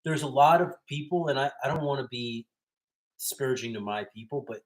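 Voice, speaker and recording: A man hosting a self-defense livestream, relaxed and casual, telling stories in street-style slang. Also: mid-range voice, brisk, rough recording. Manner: laid-back, storytelling, street-style slang. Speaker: host of a self-defense channel.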